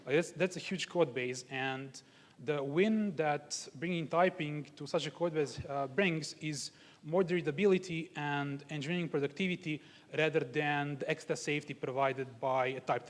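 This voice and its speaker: Young man from Croatia giving a tech talk. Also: on the high side. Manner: explanatory, walks through examples step by step. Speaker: conference speaker. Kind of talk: technical conference talk. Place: large conference hall. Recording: long hall reverb.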